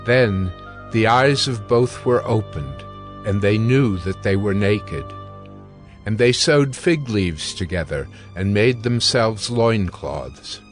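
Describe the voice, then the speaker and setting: Slow, low-voiced male scripture narrator. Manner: slow, steady, deliberate reading. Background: music.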